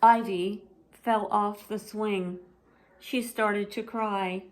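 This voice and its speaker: Female bedtime storyteller, medium pitch, unhurried and expressive read-aloud for young children.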